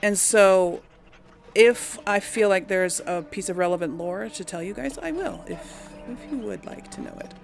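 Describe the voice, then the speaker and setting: A woman's voice: mid-range voice, sing-song, moderate pace, creaky, music in the background. A woman hosting a casual game playthrough, chatting informally to her audience and thinking aloud. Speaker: host of a game playthrough series. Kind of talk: casual chatty let's play commentary.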